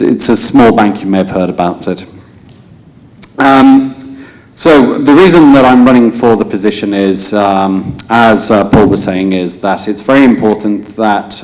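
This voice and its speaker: Low-pitched man from England, loosely improvised candidate speech, halting and self-deprecating. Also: darkish tone, rough recording. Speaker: election candidate. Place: hotel function room.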